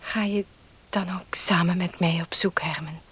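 A woman playing a gentle, pious young fiancée in a Dutch radio play, earnest and pleading, with a breathy voice. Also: lowish voice, steady tone, unhurried, full character voice.

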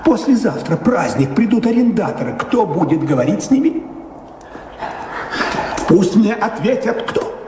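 Querulous servant, highish voice with animated swings, fussy and talkative, theatrical radio drama. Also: male voice, unhurried, pauses often. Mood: indignant. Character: fussy, indignant manservant, servile to his master. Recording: reverberant hall, faint background noise.

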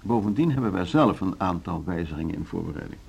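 An actor in a Dutch radio play playing a middle-aged board chairman, formal, deliberate and courteous but unbending, with a Netherlands accent. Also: low voice, lively intonation, creaky, a little mellow, breathy edge, heavily acted. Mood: courteously firm. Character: measured, legalistic male board chairman of a music school.

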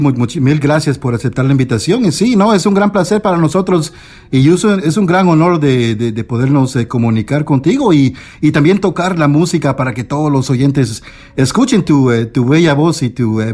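A man's voice: fairly high pitch, darkish tone. A man hosting a Spanish-language radio show, interviewing a guest with many fillers and restarts. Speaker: radio host.

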